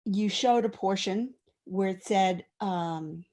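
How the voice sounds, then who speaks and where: A woman's voice: mid-range voice, takes it slowly, darkish tone. Class participant, woman, mid-range voice, earnest, questioning, video call. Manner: earnest, searching, piles up questions. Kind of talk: asking questions in a discussion.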